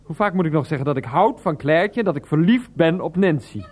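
A man's voice: a little high, expressive, fairly quick, a little hiss or hum, lightly acted. A man playing a flustered adult son who stammers and keeps getting exasperated with his mother on the phone, in a 1990s radio play. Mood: frustrated. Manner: stammering, exasperated, bursts into laughter. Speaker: character in a radio drama.

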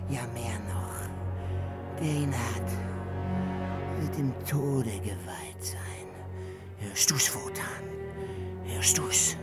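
An actor playing a vengeful, anguished dwarf in a fantasy radio drama, theatrical and bitter, moving between shrill cries and hisses. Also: male voice, lively intonation, drawn-out words, long pauses. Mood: menacing curse. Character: vengeful, anguished male dwarf, cursing and gloating. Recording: loud dramatic music underneath.

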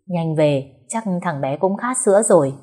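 A woman's voice: deep voice, animated, moderate pace, warm tone, slightly rough. A woman playing a caring older aunt in an audio drama, speaking in a worried, pleading manner.

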